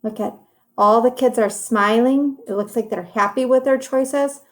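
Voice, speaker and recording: A woman's voice: on the high side, unhurried. A woman teacher reading a story to young children, speaking in simple, instructive phrases with encouraging asides about the pictures. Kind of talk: read-aloud lesson for young children, with commentary on pictures.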